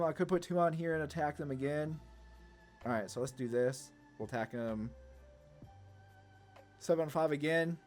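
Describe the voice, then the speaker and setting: Casual man thinking aloud over music. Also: mid-range voice. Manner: thinking aloud, hesitant, slangy. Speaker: gaming channel host. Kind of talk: casual card game gameplay commentary.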